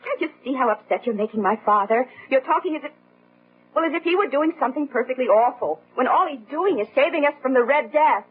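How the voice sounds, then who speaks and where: A woman's voice: high-pitched, animated, fast talker, breathy edge. An actress playing a rich man's loyal, self-preserving daughter in a radio drama, insistent and reproachful. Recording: tinny telephone-like old radio sound.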